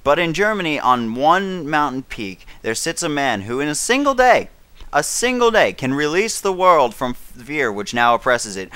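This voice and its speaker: Man acting a bright-voiced, declamatory wartime British statesman. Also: medium pitch, clean tone, no echo. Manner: declamatory, emphatic, dramatic stresses, occasional stumbles. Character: grave, defiant elder British statesman making wartime broadcast. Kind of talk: 1930s wartime political radio address, oratorical.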